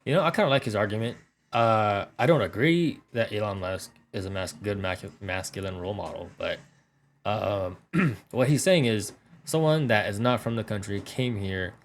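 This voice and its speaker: Lowish voice, casual guy in a debate hedging his take, a little noise behind him. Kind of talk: group debate.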